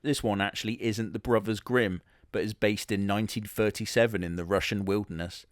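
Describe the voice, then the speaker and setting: A man narrates a comic story with sardonic, crude asides and casual swearing. His voice sits a little low and the recording is dry and close, with no room sound. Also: fairly even intonation, brisk, no room sound. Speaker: comedy narrator in character.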